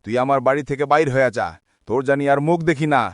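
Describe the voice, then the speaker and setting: A man's voice: mid-range voice, fast talker, natural voice. A man voicing a short-tempered woodcutter father in a cartoon, scolding and then calling out desperately. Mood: angry. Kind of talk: cartoon voice acting.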